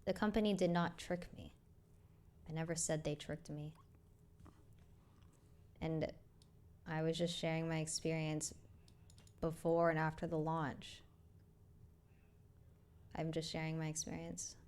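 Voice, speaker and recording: Young woman streamer, soft-spoken and hesitant, slightly low pitch. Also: little pitch movement, a little slow, pauses often. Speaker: streamer addressing her audience. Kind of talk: personal live statement.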